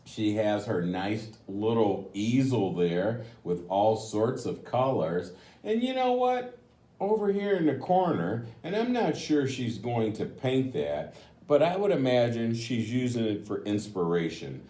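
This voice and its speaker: A man reading a picture book aloud to children, gently explaining with chatty asides in a roomy, echoing recording. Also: medium pitch, very animated, a little slow. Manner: gentle, explanatory, addressing young listeners.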